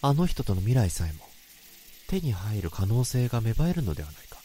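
A man's voice: lowish voice, big pitch swings, a little fast, background noise. An actor narrating and playing a troubled male office worker in a radio drama, brooding inner monologue and guarded dialogue. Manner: inner monologue that swings into frantic outbursts. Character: tormented male office worker who hears others' thoughts. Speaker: narrator and protagonist.

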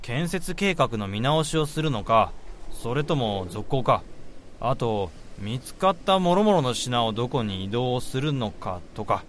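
Radio drama role, male actor: casual, concerned male senior at the office, medium pitch, animated and brisk. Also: a little hiss or hum, close to an everyday voice. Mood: matter-of-fact. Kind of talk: Japanese radio drama acting.

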